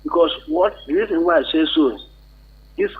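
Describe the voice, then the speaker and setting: A man's voice: fairly high pitch, fairly quick. A man with a Nigerian accent calling a radio show to give informal advice in Pidgin-tinged English. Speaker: phone-in caller. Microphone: telephone call. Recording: muffled, narrow phone line.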